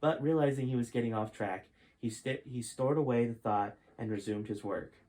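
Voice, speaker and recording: A man's voice: medium pitch, moderate pace, mellow. A man reading his own adventure book aloud as a storyteller, in scripted narration.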